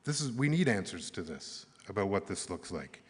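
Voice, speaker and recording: A Canadian man giving earnest closing remarks at an arts summit, halting and thoughtful, with many fillers. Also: low-pitched, sing-song. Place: large conference hall. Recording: echoey hall with long reverb.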